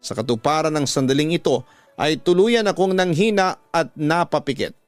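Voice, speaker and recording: A Filipino man with a slightly high voice tells a dramatic radio story in slow, emotive phrases. He is recorded close and dry, with music playing underneath. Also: dry recording. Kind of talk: dramatic scripted story narration. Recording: music bed behind a dry studio voice.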